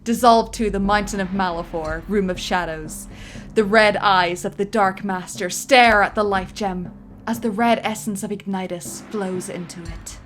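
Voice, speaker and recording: A woman reading a script's stage directions aloud with lively, dramatic narration. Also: medium pitch, normal speed, faint music behind.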